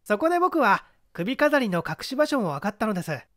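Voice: mid-range voice, sing-song, a little fast, a bit bright, no room sound, studio quality. A narrator voicing a clever schoolboy detective, explaining a crime with methodical assurance in an audiobook reading. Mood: confident, explaining.

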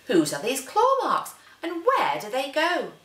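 A small monster girl played by a woman reading a storybook, brave but wary. She draws out her wondering words in a raised, swooping pitch, in a slightly echoey room. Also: wildly swooping pitch, a bit bright, heavily acted. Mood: curious wonder. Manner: wondering, drawn-out questions. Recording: roomy living-room echo.

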